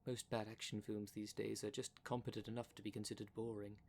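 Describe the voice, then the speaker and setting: A man's voice: fairly low pitch, flat delivery, fairly quick, vocal fry, darkish tone, a little hiss or hum. A man playing a prim, defensive dream demon in a formal, fussy, easily exasperated manner for an ASMR roleplay drama. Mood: conversational. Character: prim, defensive dream demon, one half of split creature.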